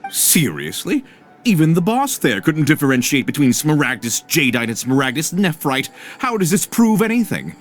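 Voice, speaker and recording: Indignant merchant, theatrical, polished phrasing, very animated pitch, video game cutscene. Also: male voice, moderate pace, soft background music. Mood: defensive, argumentative. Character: indignant, slippery male merchant arguing his case.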